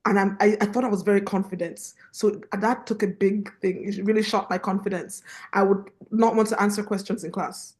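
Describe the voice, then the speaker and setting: A young woman from Nigeria with a Nigerian accent, a guest in a casual chat, telling stories in an animated way with asides. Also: mid-range voice, fairly quick, hardly any pauses. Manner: animated storytelling with laughs and asides. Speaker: guest, youth pastor.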